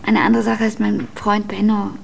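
Radio drama lead: a melancholy young female, creaky voice, fairly even melody, confiding, faint ambience. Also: mid-range voice, moderate pace, a little hiss or hum. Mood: confiding, weary. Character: frank, melancholy young woman confiding in a stranger. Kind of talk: German radio drama acting.

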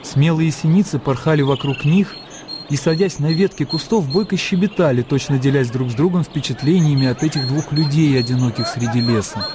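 A man narrating a classic story in a radio play, with a measured, lyrical, literary delivery. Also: a little high, noisy background, close to an everyday voice. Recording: music under the narration. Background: music.

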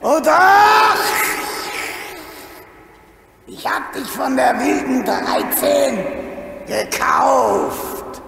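Male actor as bullying female dragon, very high screech, heavy croaky rasp, mocking sneer, children's radio drama. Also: very animated, faint music behind, full character voice. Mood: gloating, mocking. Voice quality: gravelly, screechy. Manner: shouting, mocking, drawn-out sneering. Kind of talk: children's radio play acting. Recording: echoey hall, noisy radio play recording.

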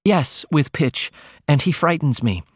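A man's voice: on the high side, lively intonation, unhurried, no echo, clean studio sound, voice pushed up. Ruth, an earnest, frank young woman, voiced by a man. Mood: earnest confiding. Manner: confiding, emotional, earnest. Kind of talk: audiobook character reading.

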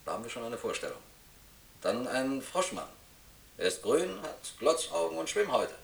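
A man's voice: medium pitch, sing-song, moderate pace, vocal fry. An actor playing a hapless, literal-minded seaman in a radio play, earnest and plaintive as he dutifully answers his captain. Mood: earnest, puzzled.

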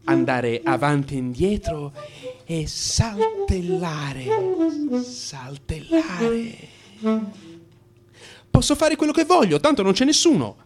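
A man's voice: high voice, wildly swooping pitch, slow, pauses often, soft background music, exaggerated voice. An actor playing an anxious married man in crisis, confessing to his analyst in an agitated, emphatic radio theatre monologue. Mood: giddy relief. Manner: agitated, emphatic, swinging from hushed mutter to outburst.

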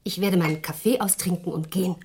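A woman's voice: lowish voice, fast talker, darkish tone, a little hiss or hum, lightly acted. A woman acting a chatty, cheerful visitor who laughs easily in a radio drama. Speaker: visiting acquaintance.

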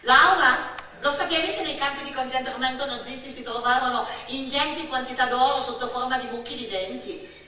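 A woman voicing a cocky, excitable six-year-old boy who blusters and stumbles over words, in theatrical radio monologue style. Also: very high voice, fairly quick, pitched above the performer's own voice, full character voice. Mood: lurid, excited. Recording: very echoey stage, distant mic, faint noise.

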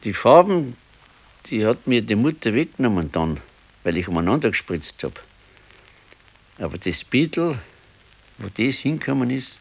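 An actor playing an ageing, wistful husband in a naturalistic radio play, with a Bavarian accent and a halting, ruminative manner. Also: male voice, medium pitch, sing-song, gravelly, breathy edge, full character voice, rough recording. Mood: musing, wistful. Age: older. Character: ageing husband, musing wistfully over his past. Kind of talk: naturalistic radio drama acting.

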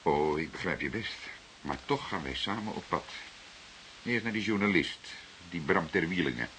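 Deep-voiced, dryly sardonic police detective. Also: male voice, lively intonation, a bit bright. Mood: dry, firm. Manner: deliberate, dryly sardonic, formal. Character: male senior police detective, dry, probing, unhurried authority. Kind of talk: Dutch radio drama acting. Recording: hissy old radio play recording.

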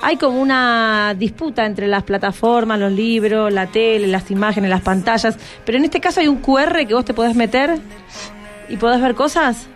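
Higher-pitched Argentine woman hosting radio. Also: moderate pace, faint music behind. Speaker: radio host interviewing school students. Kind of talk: conversational interview, prompting guests with questions. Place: radio studio. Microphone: studio microphone.

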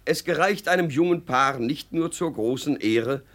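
An actor playing a kindly, moralizing village pastor with an unctuous preaching manner, in 1950s German radio drama style. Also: male voice, a little high, a bit bright. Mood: conciliatory. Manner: unctuous, measured preaching with hesitations.

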